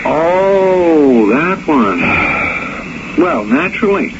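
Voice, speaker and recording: An actor playing a wry, worldly embassy intelligence man in a 1970s radio drama, talking in a dry, measured way. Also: on the high side, sing-song, gravelly, full character voice. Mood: wry, brisk. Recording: muffled, noisy 1970s radio recording.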